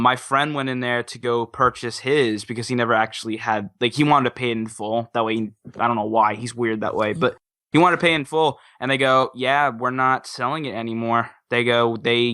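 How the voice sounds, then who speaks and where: Male gaming podcast panelist, bright forceful voice, excitable and stop-start in casual conversation. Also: mid-range voice, normal speed, clean tone.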